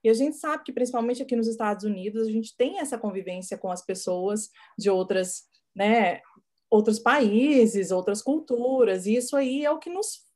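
A woman's voice: a little high, moderate pace, warm tone. A woman hosting an interview, reflecting on her own family and asking long questions. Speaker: interview host. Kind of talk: conversational interview.

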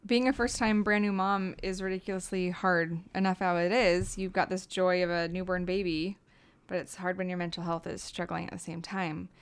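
Smooth-voiced woman podcast host, supportive. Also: fairly low pitch, little pitch movement. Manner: supportive, gentle, quick to affirm. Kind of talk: podcast interview.

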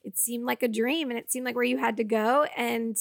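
A young woman from the Boston area with a raspy voice, chatting as a guest in a casual interview, talking loosely with many fillers and frequent laughs. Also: a little high, steady tone, a little fast, no room sound, clean studio sound. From Boston area, United States.

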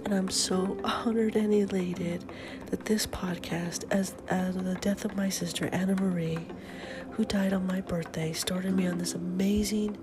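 A woman's voice: lowish voice, fairly even intonation, unhurried. A middle-aged woman hosting a podcast in a casual, upbeat morning chat, often half-whispering, with a stuffy nose from a head cold. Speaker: podcast host.

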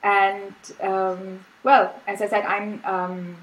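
A woman's voice: mid-range voice, slow pace, low-quality recording. A middle-aged woman from Austria, a native German speaker, chatting casually as an interview guest on a video call, laughing often and restarting her sentences. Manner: relaxed, laughs often, many fillers and restarts. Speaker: guest artist.

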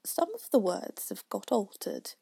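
A woman's voice: medium pitch, sing-song, measured pace, vocal fry, dark tone, breathy edge, no echo, clean studio sound, heavily acted. A woman voicing a timid, polite, easily flustered little girl in a storybook, hesitant and pleading. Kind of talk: storybook reading.

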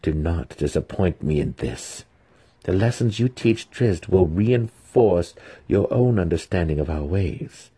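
A man voicing an imperious dark elf matriarch for an audiobook, with a commanding, deliberate and menacing delivery. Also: low voice, lively intonation, breathy edge, full character voice. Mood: cold threat.

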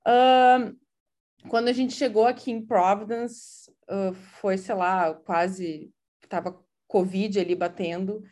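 A woman's voice: mid-range voice, steady tone, slow pace, a little mellow. A woman from Brazil with a gaúcho accent, talking casually and hesitantly, full of fillers and restarts. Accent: Gaúcho Brazilian Portuguese. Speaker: interviewee. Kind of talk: casual conversational account.

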